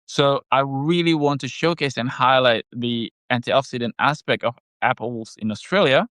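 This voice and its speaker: A man whose first language is Indonesian talks through health topics in an easygoing podcast chat. His delivery is measured and explanatory, broken by fillers and pauses. He sits close to the mic in a dry, dead room at a middle pitch. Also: a bit bright, no room sound. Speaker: health product maker and podcast co-host.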